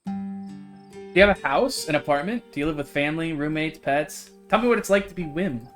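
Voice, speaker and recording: A man's voice: fairly high pitch, lively intonation, fairly quick, fairly bright tone, faint music behind. A man voicing a friendly, chatty fellow content creator from a visual novel, in an earnest, supportive manner. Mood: curious, friendly.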